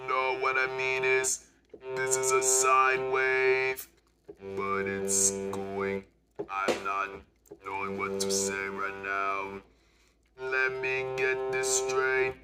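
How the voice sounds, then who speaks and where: A man giving a casual hands-on music gear demo to viewers, halting as he goes, often repeating and correcting himself. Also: low-pitched, flat delivery. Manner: halting, repetitive, self-correcting. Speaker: video host demonstrating music gear.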